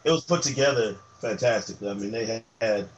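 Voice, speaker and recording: A man co-hosting a sports podcast, a little hoarse from travel, telling stories enthusiastically in casual chat with lots of fillers. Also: lowish voice, a little fast, dull audio, a little hiss or hum. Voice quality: slightly hoarse. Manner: animated, enthusiastic storyteller with many fillers. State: voice worn from travel. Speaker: podcast co-host.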